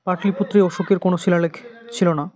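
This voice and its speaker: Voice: mid-range voice, steady tone, fast talker, a little mellow. An exam coaching teacher with an Indian Bengali accent, reading out quiz questions and repeating the answers in an instructional way.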